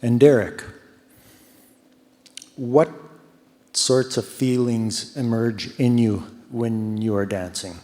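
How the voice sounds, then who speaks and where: A man moderating a post-show talk, asking questions gently and thanking the guests with visible emotion. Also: medium pitch, fairly even intonation, slow, pauses often. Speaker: event host and moderator. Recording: reverberant theatre hall.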